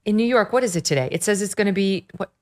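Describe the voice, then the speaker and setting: A woman's voice: medium pitch, quick pace, darkish tone. A woman hosting a political talk show, sarcastic and emphatic in her commentary. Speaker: host. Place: at the beach.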